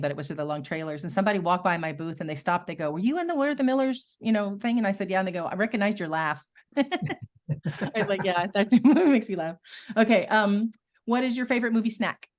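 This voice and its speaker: A woman interviewer asking rapid-fire questions in a chatty, upbeat way. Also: mid-range voice, expressive. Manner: chatty, upbeat, quick to laugh.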